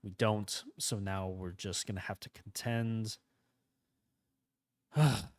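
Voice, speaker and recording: Slightly hoarse low-voiced gaming host. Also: male voice, extremely slow, no room sound, studio quality. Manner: rambling, relaxed, thinking aloud. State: slightly hoarse from a starting cold. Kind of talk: casual gameplay commentary.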